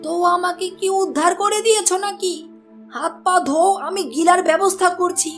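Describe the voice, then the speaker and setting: A woman acts out a sharp-tongued cartoon wife with an extremely high voice. She nags and scolds with heavy sarcasm. Faint music plays behind her. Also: normal speed. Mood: scolding, sarcastic. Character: nagging, sharp-tongued wife of a village butcher. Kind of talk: Bengali cartoon voice acting.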